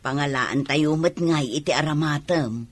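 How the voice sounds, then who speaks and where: A man voicing a hopeful, wistful village parent in a radio drama, with a husky voice and an Ilocano Filipino accent. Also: on the high side, measured pace.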